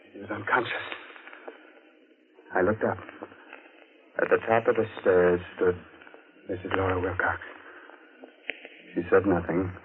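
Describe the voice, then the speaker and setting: Grave, low-voiced mystery narrator. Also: male voice. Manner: grave, suspenseful, deliberate storytelling. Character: shaken male narrator of a murder mystery. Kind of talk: dramatic old radio narration. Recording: noisy, tinny vintage radio recording.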